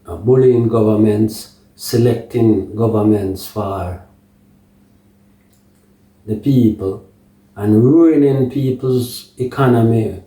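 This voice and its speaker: A man with a Jamaican accent giving an unscripted political monologue in patois-tinged phrasing, deliberate and halting, often checking that the listener follows. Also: fairly low pitch, dark tone. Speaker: political commentator. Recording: echoey room, distant microphone.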